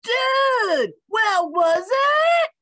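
A slangy young dog dude from a children's storybook, as a woman acts him out. He is laid-back and drawls his words slowly, with pitch that slides up and down a lot. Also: very animated, a bit bright, dry recording, full character voice. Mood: urgent, hollering.

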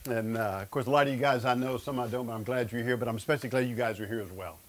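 A man's voice: medium pitch, steady tone, very fast. A man in his fifties who stutters, giving a humorous sermon from a studio stage with a lapel mic. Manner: stutters, jokes about it. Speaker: speaker of a men's Bible study. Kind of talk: humorous sermon to a live audience. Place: studio stage before a seated audience, indoors.

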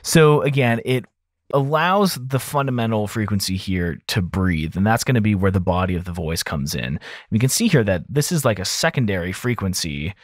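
Low-pitched man giving a casual tutorial. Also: animated, normal speed, no echo, studio quality. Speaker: audio engineer host of a mixing series. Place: small home studio room, indoors. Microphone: close large broadcast mic on an arm.

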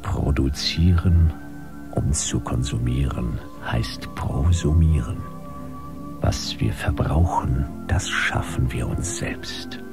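A man's voice: rumbling low, exaggerated voice. A man playing a solemn propaganda voice in a sci-fi radio play, intoning slogans in a whispery way. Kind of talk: German radio play acting. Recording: loud music behind.

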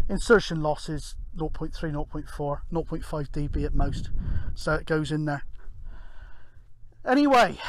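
A middle-aged British man giving casual, rambling, matter-of-fact narration for an outdoor vlog. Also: a little high. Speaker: amateur CB radio vlogger. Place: open moorland hilltop, outdoors. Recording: windy outdoor handheld camera recording. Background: wind and outdoor ambience.

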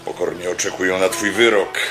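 A man's voice: low voice, level tone, a little fast, natural voice. An actor playing a deferential old soldier-servant reporting formally to his Roman master in a radio drama. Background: music.

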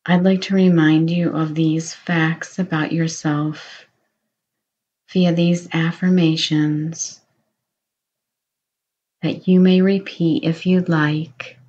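A woman guiding a meditation in a slow, soothing voice, offering gentle reassurance. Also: low-pitched, little pitch movement, lo-fi sound. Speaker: meditation guide. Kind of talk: guided meditation.

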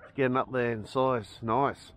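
A man's voice: mid-range voice, steady tone, moderate pace, a little hiss or hum. A middle-aged Australian man giving casual commentary as he fishes from a beach, cheerful and chuckling often, recorded outdoors on a head-mounted action camera. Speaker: fishing vlogger. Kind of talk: casual running commentary while fishing. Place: outdoors on a sandy beach by the water.

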